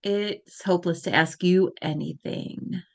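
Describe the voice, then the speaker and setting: A woman's voice: fairly low pitch, measured pace, creaky. A woman voicing a determined, impatient teenage girl who answers sharply. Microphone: video call.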